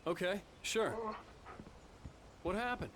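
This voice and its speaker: A man's voice: high voice, animated, slow pace, fairly bright tone, a little hiss or hum, full character voice. A man playing a tense, stubborn, desperate husband in a video game, curt and insistent. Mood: businesslike.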